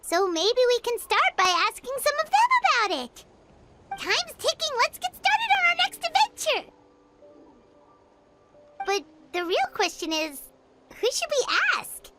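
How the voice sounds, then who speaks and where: A tiny, eager fairy girl from an anime adventure game. The voice is squeaky and extremely high, bouncing widely in pitch as it chatters. Game music plays clearly behind it. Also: sing-song, unhurried, pauses often, heavily acted. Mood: cheerful, eager. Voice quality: squeaky, childlike. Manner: refers to herself in third person, exclaims brightly. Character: small, chirpy floating fairy-like girl companion, eager and chatty. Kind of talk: anime video game dialogue acting.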